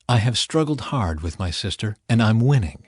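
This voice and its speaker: Male narrator as jealous biblical-era woman, emphatic and dramatic, animated pitch, storybook scripture reading. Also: moderate pace, slightly rough, no room sound, clean studio sound. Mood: triumphant. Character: desperate, jealous ancient woman pleading and rejoicing. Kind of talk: Bible audiobook character reading.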